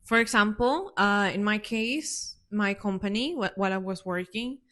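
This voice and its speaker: A woman from Italy, co-hosting a casual chat in halting, informal phrasing. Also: mid-range voice, fairly bright tone. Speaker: co-host.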